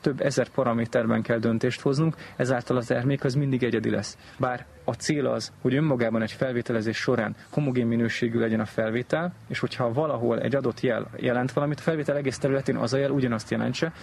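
A man's voice: mid-range voice, fairly even intonation, creaky, hardly any pauses, a little mellow, breathy edge. A man giving an expert interview, explaining methodically and listing examples as he goes. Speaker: expert interviewee in aerial remote sensing.

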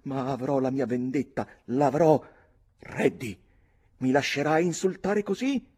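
A man voicing a coquettish, indignant young gentlewoman with theatrical, gushing exclamations in a story reading. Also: medium pitch, a little slow, slightly rough, pitched above the performer's own voice.

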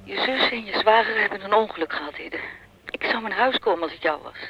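A careful female caller in a radio drama, breaking bad news hesitantly. Her voice is sharp and bright, squeezed through a lo-fi telephone line. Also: medium pitch, animated, brisk. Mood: tense, careful. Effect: telephone filter. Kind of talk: Dutch radio play acting. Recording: muffled, band-limited telephone line.

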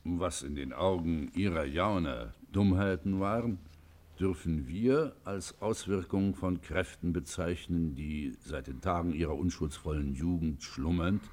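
Very deep-voiced, grave judge. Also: male voice, measured pace, darkish tone. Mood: probing, consoling. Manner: stately, exhorting, formal rhetorical diction. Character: grave, earnest judge hearing a confession, morally exhorting. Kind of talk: classic German radio play acting.

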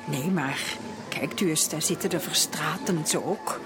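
A woman's voice: sits low, over music. A woman playing a gossipy, critical society lady in a period radio drama, with an affected, disapproving manner. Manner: disapproving, affected, drawn-out delivery. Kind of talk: Dutch radio drama acting.

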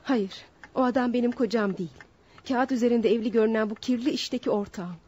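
A woman's voice: on the high side, fast talker, warm tone, slightly rough. An actress playing a remorseful, lovestruck female swindler in a melodramatic radio play, speaking in a wistful, formal way. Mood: wistful, pained. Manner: wistful, dramatic, formal phrasing. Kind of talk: melodramatic radio theatre acting. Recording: dull lo-fi radio drama recording.

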